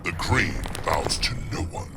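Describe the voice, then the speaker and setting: An actor playing an ancient, solemn plant monster guardian of nature in a video game, with a growling, gravelly voice and a formal, ponderous manner. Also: male voice, a little slow, very noisy, close to an everyday voice. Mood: stern warning. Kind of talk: video game fight intro dialogue. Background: music.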